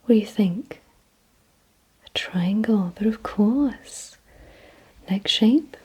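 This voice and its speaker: A woman whispering in a breathy, hushed ASMR roleplay style, giving deliberate instructions directly to the listener. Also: mid-range voice, poor audio. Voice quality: breathy, mostly unvoiced whisper. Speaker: ASMR performer.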